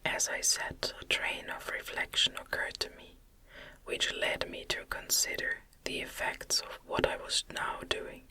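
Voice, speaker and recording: A narrator reading a classic novel in a soft, breathy ASMR whisper, with a soothing and deliberate cadence. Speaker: audiobook narrator.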